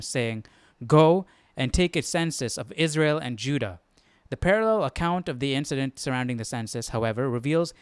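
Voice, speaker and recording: A man's voice: on the high side, clear, resonant tone, no echo, studio quality. A man hosting a live study stream in casual, informal chat, thinking things through aloud.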